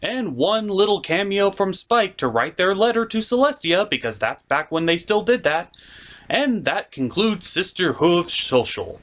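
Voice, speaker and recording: A man's voice: high-pitched, big pitch swings, unhurried, a bit bright. A man hosting a scripted comedic episode review with a sarcastic delivery. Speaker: reviewer, host.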